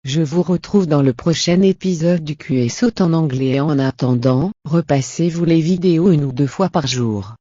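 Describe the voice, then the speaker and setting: Mellow, higher-voiced man presenting a lesson. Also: moderate pace, hardly any pauses, dry recording, dull audio. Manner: encouraging, didactic. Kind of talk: scripted instructional narration.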